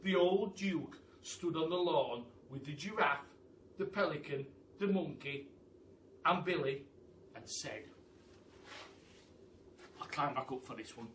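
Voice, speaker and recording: Man, children's storyteller, bright tone, theatrical, chummy, distant echoey recording. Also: on the high side, expressive, takes it slowly, pauses often, a little hiss or hum. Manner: chummy, addresses listeners as guys. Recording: echoey room, distant mic.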